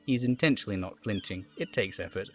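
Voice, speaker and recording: Casting: male streamer, theatrical live game narration, very varied intonation, deliberate delivery. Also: on the low side, animated, soft background music. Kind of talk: live dramatic reading of a video game's narration.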